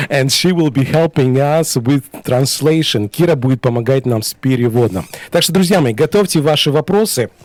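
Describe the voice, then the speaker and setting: A man's voice: medium pitch, normal speed, no room sound. A man hosting a radio interview in a studio, talking hesitantly with many fillers. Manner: hesitant, many fillers, switching between two languages. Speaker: radio host.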